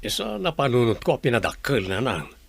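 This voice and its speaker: A man's voice: medium pitch, wildly swooping pitch, moderate pace, gravelly, slightly rough, dry recording, full character voice. A man playing a weary, hardworking young father from a village in a Filipino radio drama. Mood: weary, earnest.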